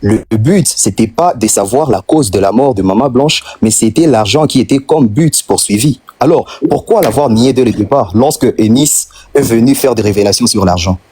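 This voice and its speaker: A man's voice: mid-range voice, moderate pace, few pauses, warm tone, a little hiss or hum. A Congolese man with a Congolese French accent, making an impassioned, insistent argument on a live stream, piling up rhetorical questions and repeating phrases for emphasis. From Democratic Republic of the Congo.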